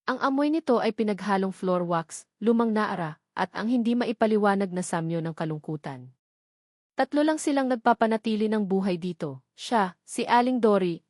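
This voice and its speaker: Woman narrator, measured and dramatic, mid-range pitch, dry close-mic sound. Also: darkish tone, no echo.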